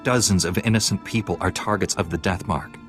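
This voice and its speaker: Deep-voiced, resolute Jedi knight. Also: male voice, brisk, fairly bright tone, faint music behind. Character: earnest young Jedi knight hero, composed and resolute. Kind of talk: video game cutscene acting.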